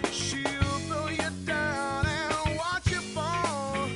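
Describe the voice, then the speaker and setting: A woman sings in a high voice, taking her lines slowly. Loud band music with guitar plays right along with her. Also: little pitch movement. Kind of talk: slow sung vocal with music.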